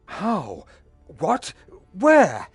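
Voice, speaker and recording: Proud, animated old clan leader. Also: male voice, high-pitched, extremely slow, husky, no room sound, faint music behind, exaggerated voice. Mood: indignant. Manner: formal, emphatic. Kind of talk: fantasy game acting.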